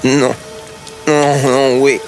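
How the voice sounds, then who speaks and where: A man's voice: mid-range voice, level tone, very slow, low-quality recording. An actor playing a panicked street thug begging for his life in a crime game. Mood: pleading. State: panicked, pleading. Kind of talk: cinematic crime game dialogue. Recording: music and rain behind.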